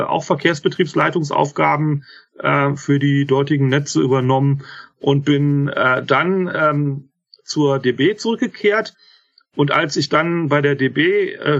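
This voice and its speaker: Gravelly man in his fifties, halting. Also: fairly high pitch, a bit bright, muffled recording. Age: 50s. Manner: halting, many filler sounds, self-corrections. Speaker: interview guest, rail manager. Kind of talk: casual spoken interview.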